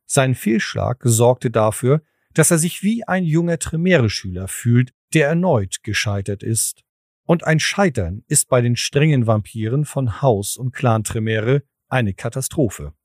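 A man's voice: mid-range voice, animated, unhurried, darkish tone, no echo, studio quality. A man narrating a scripted audio drama as its storyteller.

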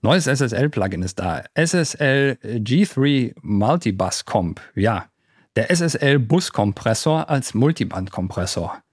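A man's voice: mid-range voice, expressive, takes it slowly, breathy edge, dry recording. Guy doing chatty gear review, enthusiastic, throws in english tech words, very dry recording. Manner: enthusiastic, colloquial, mixes English tech jargon into German. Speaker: podcast co-host presenting music gear. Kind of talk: casual gear review chat.

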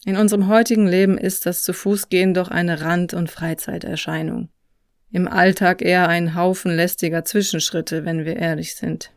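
A narrator reading a scripted personal travel story in a reflective, measured way, recorded close on a microphone. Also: medium pitch, fairly even intonation, no room sound. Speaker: podcast host and narrator.

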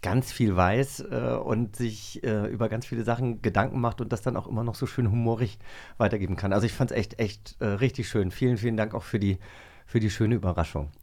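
A man's voice: on the low side, brisk, darkish tone. A man speaking informally and enthusiastically as a co-host in a casual podcast chat.

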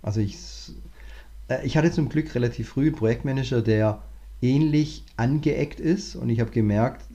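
A man, a management consultant, talking through his career in a relaxed interview, thinking aloud with many restarts. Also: medium pitch, normal speed, dull audio. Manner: thoughtful, many fillers and restarts. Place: lives in Cape Town, remote interview.

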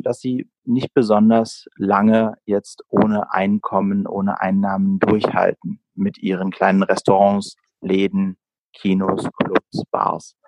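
A man's voice: fairly low pitch, no room sound, rough recording. A man in his late twenties from Berlin, answering interview questions conversationally as a guest entrepreneur. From Berlin, Germany.

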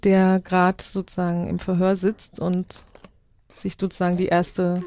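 A woman's voice: on the low side, monotone, a little slow, dark tone. A woman speaking as a guest expert, explaining conversationally with many qualifiers.

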